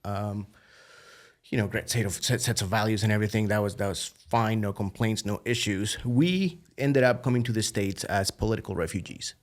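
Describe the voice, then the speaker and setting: Colombian guy, kinda low voice, chill chatty podcast guest. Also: normal speed. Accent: Colombian Spanish. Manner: relaxed, animated storytelling with frequent "you know" and "dude". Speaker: podcast guest, biotech founder. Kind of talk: casual conversational interview.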